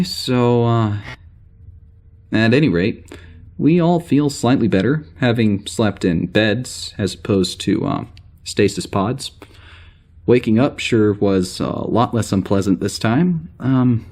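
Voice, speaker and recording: Guy playing tired wry space officer doing his log, kinda low voice, lots of hesitating, casual rambling, audio drama. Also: a little mellow. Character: weary, wry male spaceship security officer. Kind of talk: audio drama spoken log entry, naturalistic.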